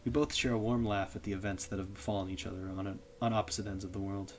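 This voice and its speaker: Low-voiced young man reading a story. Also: quick pace, slight background noise. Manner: steady, even reading with small slips. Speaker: streamer reading a visual novel. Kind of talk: reading a visual novel aloud.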